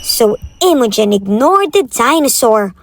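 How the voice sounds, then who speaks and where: A woman narrating picture books for young children in an expressive read-aloud style. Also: a little high, a little slow, dry recording, clean studio sound. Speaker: children's storyteller. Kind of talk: animated picture-book narration. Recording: faint background noise.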